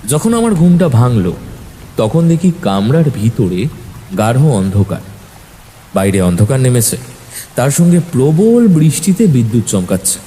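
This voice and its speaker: Male narrator for audio horror: dark-toned, very lively intonation, expressive and suspenseful, slight background noise. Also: medium pitch, measured pace. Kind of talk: dramatic first-person horror storytelling.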